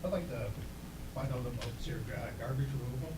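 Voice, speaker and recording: A man's voice: medium pitch, quick pace, heavy background noise. A man asking a hesitant question during public comment at a meeting. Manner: hesitant, chuckling. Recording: distant voice in a crowded meeting hall.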